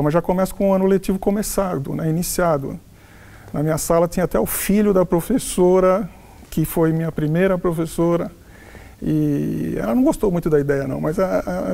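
Gravelly, halting older Paulistano man. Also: on the high side, mellow. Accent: Paulistano Brazilian Portuguese. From São Paulo, Brazil. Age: 60s. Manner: halting, frequent restarts and self-corrections. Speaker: interviewed professor. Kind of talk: reflective life-story interview. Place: furnished office with wooden cabinets, indoors. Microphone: lapel.

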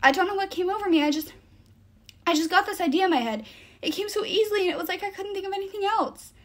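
A woman's voice: sits high, sing-song, fast talker, a little mellow, pitched above the performer's own voice. A woman voicing an anxious, impulsive teenage boy who pleads remorsefully in an audiobook story. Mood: pleading, distraught.

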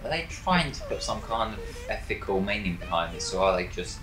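Male game commentator, sarcastic and casual, animated low voice, roomy sound. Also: brisk, music in the background. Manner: sarcastic, wry. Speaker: gameplay commentator.

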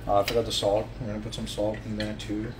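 A man's voice: lowish voice, monotone, fast talker. A man in his thirties chatting loosely and jokingly while he cooks in his home kitchen, recorded on a nearby phone. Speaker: fitness coach and vlogger. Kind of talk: casual livestream cooking chat. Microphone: phone camera held or propped nearby. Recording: echoey kitchen with clear ambient noise.

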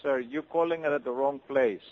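A man's voice: medium pitch, moderate pace, background noise. A man working at a restaurant, answering a phone call in a plain, polite way. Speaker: pizza restaurant worker taking a call. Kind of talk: phone conversation. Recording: dull lo-fi phone line.

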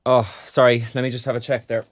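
A man's voice: mid-range voice, little pitch movement, a little fast, slight background noise, lightly acted. A male actor playing a preoccupied young graduate in an audio drama, with an Irish accent and a hesitant, self-deprecating manner. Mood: polite, distracted. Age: young adult. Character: wry, preoccupied young arts graduate job-hunting. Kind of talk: naturalistic audio drama acting.

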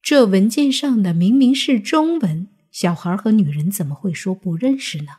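A woman narrating a scripted suspense audiobook in a measured, deliberate storytelling manner. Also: medium pitch, animated, warm tone, slightly rough. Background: background music.

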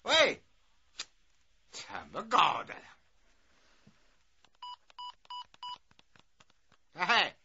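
A fussy old grandfather in a radio drama, loud and suspicious. His voice is high and very bright, swinging widely as he blusters and repeats himself, on a slightly dull recording. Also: huge pitch swings, slow pace, vocal fry, slight background noise, full character voice. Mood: puzzled, agitated. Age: older. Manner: loud, blustering, repetitive questioning. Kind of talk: Chinese radio drama acting. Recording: dull lo-fi audio with music.

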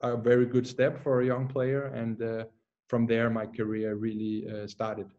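A young man from Denmark with a Danish accent, a footballer answering interview questions calmly over a video call, pausing often. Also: medium pitch, dull audio. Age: young adult. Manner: calm, measured, with many "uh" pauses. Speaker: guest, professional footballer. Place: plain room at home.

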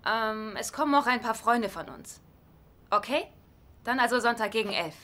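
An actress playing a crafty, wry wife who keeps up polite manners in a dubbed sitcom. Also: female voice, a little high, animated, normal speed, bright, forceful tone. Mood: polite, friendly.

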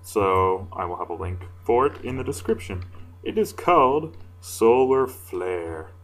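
A man with a deep voice reading fan fiction casually, informal and self-deprecating, stumbling now and then. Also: animated, measured pace. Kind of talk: casual amateur story reading with asides.

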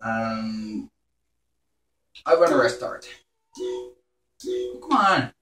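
Excitable man gaming, roomy distant mic. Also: on the low side, very slow, slight background noise. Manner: excitable, exclaiming, swearing in frustration. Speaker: gaming video host. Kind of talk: casual live gameplay commentary. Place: indoor room in front of a television. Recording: roomy, distant mic, game sound behind. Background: faint game music from television.

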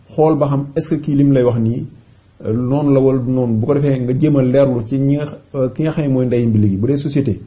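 A man's voice: mid-range voice, warm tone. A man with a Senegalese accent presenting an informal explainer voice-over as an advisory tutorial. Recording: dull, muffled lo-fi recording.

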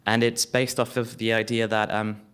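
A man's voice: lowish voice, level tone, normal speed, fairly bright tone, clean tone. A young man, a community campaigner from London, speaking on a panel in a small hall with a handheld mic, hesitant with many restarts. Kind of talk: panel discussion.

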